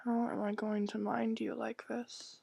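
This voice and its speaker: Woman voicing a hushed, smothering, cruel mother. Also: a little high, flat delivery, no room sound, exaggerated voice. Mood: reproachful. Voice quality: breathy, whispery. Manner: slow, condescending, falsely tender. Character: cruel, doting, smothering ghostly mother, menacingly soft.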